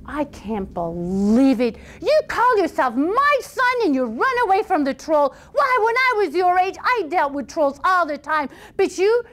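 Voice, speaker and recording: A woman's voice: sits high, voice pushed up. A stern woodcutter father of sixty scolds his sons with open scorn, as a woman storyteller plays him. His voice stays close to the teller's own, with a lecturing, disappointed edge.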